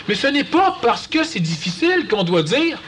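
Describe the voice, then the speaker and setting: A man with a Quebec French accent giving a lively, conversational talk to an audience and acting out quoted voices. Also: very high voice, normal speed, slight background noise. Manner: animated, acts out quoted voices. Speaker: lecturer.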